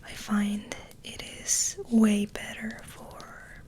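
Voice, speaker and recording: A woman hosting ASMR, rambling in a soft, breathy, hesitant whisper. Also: medium pitch, monotone, drawn-out words. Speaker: ASMR video host.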